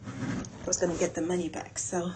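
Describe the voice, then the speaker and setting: A woman talking casually and haltingly as she thinks aloud through her planning in an audio log. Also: fairly low pitch, little pitch movement. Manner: unhurried, halting, thinking aloud while doing tasks. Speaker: host of a planning audio log for a women's group. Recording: noticeable background noise.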